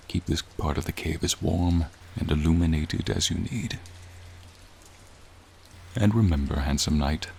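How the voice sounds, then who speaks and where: ASMR roleplay: gentle, courtly dragon, male actor, unhurried and creaky, wistful and soothing storyteller's manner. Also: deep voice, exaggerated voice. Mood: gentle, protective. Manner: slow, formal, tender, reflective storytelling. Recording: faint rain noise behind. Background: rain ambience.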